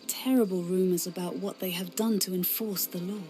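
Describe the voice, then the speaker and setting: A woman's voice: fairly low pitch, steady tone, normal speed, mellow, soft background music, close to an everyday voice. A woman playing an earnest, indignant young female warrior in a fantasy game cutscene. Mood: troubled. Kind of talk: scripted video game cutscene acting.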